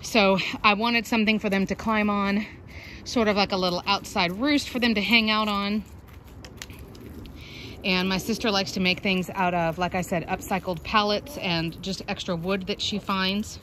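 A woman's voice: steady tone, moderate pace, noisy background. Chatty mom filming her backyard hens. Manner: chatty, explains while calling hens in a high sing-song. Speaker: backyard chicken vlogger. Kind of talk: casual outdoor show-and-tell. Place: backyard chicken run outdoors. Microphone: handheld phone camera.

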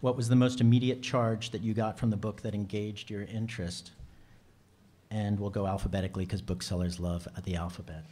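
A man's voice: on the low side, level tone, fairly quick, mellow. A man moderating a book panel in a conference hall, asking questions with light, joking asides.

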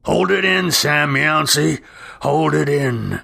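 A man voicing a gruff, commanding captain of an Old West party, firm and authoritative, in a storybook reading. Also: fairly high pitch, takes it slowly, bright, forceful tone, pitched above the performer's own voice, full character voice.

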